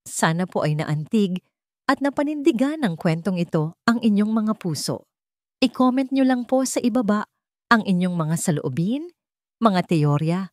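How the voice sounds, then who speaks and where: A woman with a Filipino accent narrates a scripted story in a solemn, measured, dramatic way, pausing deliberately between phrases. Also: mid-range voice, darkish tone, no room sound, studio quality. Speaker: audiobook narrator.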